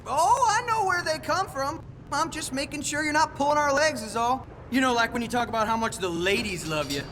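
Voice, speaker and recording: A man's voice: very high-pitched, expressive, fairly quick, background noise. An actor playing a cocky, wisecracking young American rifleman in a war game, needling his squad. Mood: mock innocent. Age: young adult. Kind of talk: game cutscene dialogue.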